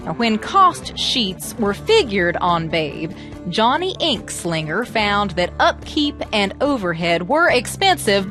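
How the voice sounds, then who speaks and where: A woman telling folk tales as a presenter, with expressive, theatrical, emphatic phrasing. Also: medium pitch. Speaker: storyteller and presenter. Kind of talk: animated storytelling narration of American folklore.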